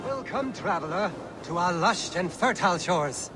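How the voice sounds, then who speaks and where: A proud, fretful old man whose wits are wandering, in a video game cutscene. The voice is high and swings widely, theatrical and rambling, with light music behind it. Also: lively intonation, moderate pace. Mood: grandly welcoming. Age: older.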